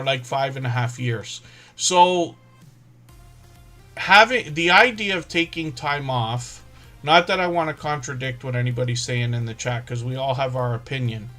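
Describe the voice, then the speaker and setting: A man's voice: medium pitch, sharp tone. A man hosting a livestream for small creators, talking casually and at length, with many fillers and self-corrections. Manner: rambling, filler-heavy, restarts and self-corrections.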